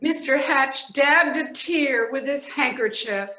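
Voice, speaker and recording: Woman reading a kids story slowly, crisp bright tone, roomy sound. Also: high voice. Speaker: reader in a readers theatre. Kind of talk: children's storybook narration. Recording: echoey room.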